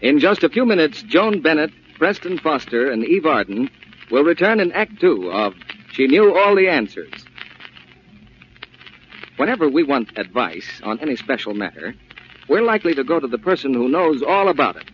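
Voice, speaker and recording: Animated male vintage radio announcer. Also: fairly high pitch, moderate pace. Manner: polished, persuasive sales delivery. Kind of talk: 1940s scripted radio announcing and advertising. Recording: muffled, hissy vintage radio recording.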